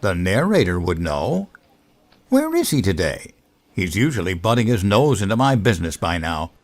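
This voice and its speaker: A childish, oblivious president played by a man in a comedy audio drama. His voice is fairly low but very animated, full of naive earnestness. It is recorded close and dry. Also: moderate pace, no room sound, full character voice. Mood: naive, curious. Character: dim-witted, childish, cheerfully oblivious male US president.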